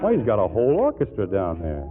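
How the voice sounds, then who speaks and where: A jealous, ardent leading man in a 1940s radio comedy. His low voice is gruff yet very animated. The recording is tinny, with music clearly behind him. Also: fast. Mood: wistful. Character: jealous, stubborn, ardent husband posing as a brother.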